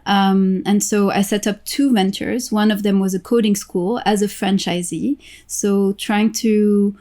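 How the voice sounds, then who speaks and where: Woman from morocco on a podcast, talks slow and thoughtful, medium pitch, lots of uhs. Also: steady tone, mellow. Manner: thoughtful, measured, with frequent fillers. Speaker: guest, social entrepreneur and impact investor. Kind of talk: podcast interview answers.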